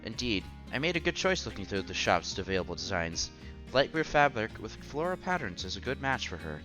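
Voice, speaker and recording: A man's voice: on the low side, big pitch swings, brisk. A man playing an earnest, bashful young college student who narrates his own romance in a visual novel. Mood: admiring. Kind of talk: visual novel read-aloud. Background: music under the voice.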